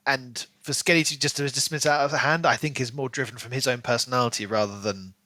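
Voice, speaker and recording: Bright-voiced man in casual book talk. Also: medium pitch, fairly even intonation, quick pace, dry recording. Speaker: podcast co-host.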